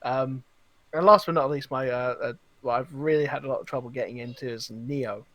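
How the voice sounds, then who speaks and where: A man's voice: medium pitch, brisk, a bit bright, no echo. A man chatting casually on a gaming podcast, rambling along and acting out reactions as he tells stories. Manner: rambling, acts out quoted reactions. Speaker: video game podcast host. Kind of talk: casual animated podcast chat.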